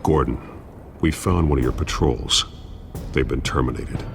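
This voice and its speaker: An actor playing a grim, terse masked vigilante with a deep gravelly growl. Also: male voice, moderate pace, over music. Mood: grave, resolute. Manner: terse, stern. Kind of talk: dramatic game voice acting.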